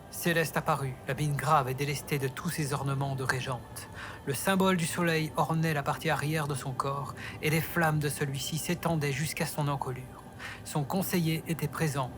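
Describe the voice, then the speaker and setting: Male fantasy narrator over background noise. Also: fairly high pitch. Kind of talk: scripted storytelling narration for a fantasy audio drama. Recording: clear background noise and ambience.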